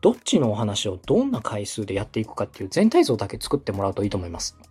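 A man from Osaka hosts as a radio director giving advice. His voice is husky and moves freely in pitch. He explains things politely, one step at a time, in a conversational coaching style, with faint music behind him. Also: huge pitch swings, rapid-fire, a little mellow. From Osaka, Japan.

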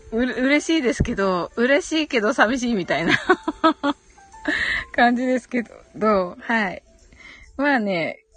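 High-pitched, giggly woman chatting on stream. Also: a bit bright, breathy edge. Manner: giggly, frequent laughter while talking; chatty, responsive, frequent short acknowledgements. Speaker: host of a live audio stream. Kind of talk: casual chat, reading out listener comments.

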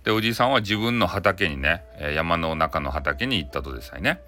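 A man's voice: low voice, lively intonation, fairly quick, sharp tone. A man with a Hakata accent telling a bedtime folktale in a casual, chatty, colloquial way. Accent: Hakata, Japan. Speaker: storyteller.

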